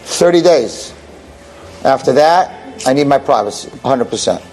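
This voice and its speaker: A man's voice: medium pitch, lively intonation, normal speed, darkish tone, soft background music, lightly acted, rough recording. A man voicing a kind, cheerful old artist in a wheelchair in a spoken story, talking in an earnest, insistent way. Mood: firm.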